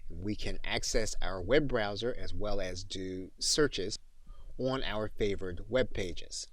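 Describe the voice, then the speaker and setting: Low-voiced man narrating a software tutorial. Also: takes it slowly, no room sound. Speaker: instructor.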